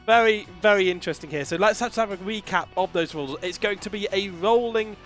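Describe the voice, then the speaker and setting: Man commentating, voice worn from a cold, chatty, a little high, faint music behind. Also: a bit bright. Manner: chatty, laughs often, quick asides. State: losing his voice from a cold. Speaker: race commentator. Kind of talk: sim racing commentary.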